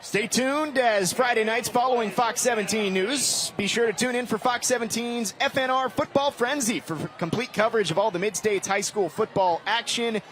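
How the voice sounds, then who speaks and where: A man's voice: extremely high pitch, normal speed, few pauses, a bit bright. A man calling live sports play-by-play in clipped, vivid phrases. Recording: noisy live game broadcast.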